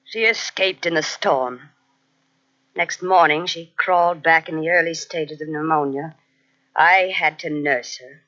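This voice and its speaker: An actress playing a fanatical, coldly devoted nurse, quietly unhinged, in dramatic old-time radio mystery style. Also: female voice, deep voice, steady tone, a little slow. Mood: grim recounting. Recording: muffled old radio cassette transfer.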